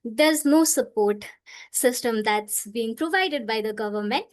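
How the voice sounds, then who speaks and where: Young woman, from Nepal, earnest panelist, fairly high voice, phrasing. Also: a little slow. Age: 20s. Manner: earnest, uses "like" often, non-native phrasing. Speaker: panelist, medical student. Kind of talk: online panel discussion.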